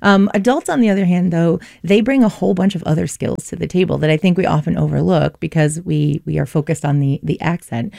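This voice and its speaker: Woman language researcher, low warm voice, explanatory, relaxed fillers. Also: brisk, vocal fry, few pauses, dry recording, studio quality. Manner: confident, explanatory, with frequent fillers. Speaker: language learning expert. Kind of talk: explanatory conversation.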